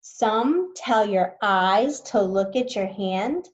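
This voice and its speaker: A woman's voice: medium pitch, lively intonation, takes it slowly, dull audio. A woman teacher reading a science text aloud to students, patiently explaining new words as she goes.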